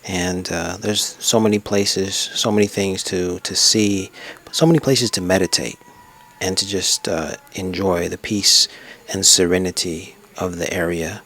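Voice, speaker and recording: A low-pitched male travel vlogger talks over his own pictures at a desk in a room. His delivery is unscripted and reflective, wandering casually from one memory to the next. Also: steady tone, measured pace, pushed and bright. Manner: rambling, reflective, reminiscing. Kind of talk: casual unscripted slideshow narration.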